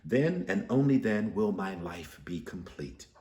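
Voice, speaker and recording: A man's voice: low-pitched, expressive, measured pace, a little mellow, reverberant. A man doing a timid, awkward lonely guy. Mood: wistful. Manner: hesitant, meek.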